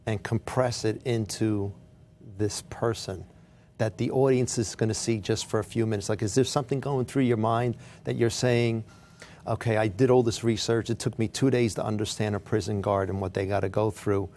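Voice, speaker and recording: A man hosting a TV interview show, encouraging and quick with short affirmations. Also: lowish voice, fairly even intonation, darkish tone, a little hiss or hum. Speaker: talk show host. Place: television studio.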